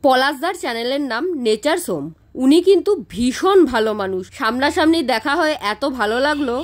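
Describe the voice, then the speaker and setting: A woman, hosting a casual travel vlog with chatty excitement, filmed at arm's length. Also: sits high, a little fast, background noise. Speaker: vlogger host. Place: home room, then busy indoor cafe. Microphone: handheld camera at arm's length.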